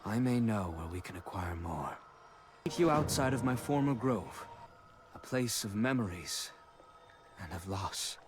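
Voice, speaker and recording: A man's voice: fairly low pitch, lively intonation, a little slow. An actor playing a somber, noble male fey huntsman in a fantasy game, grave and restrained.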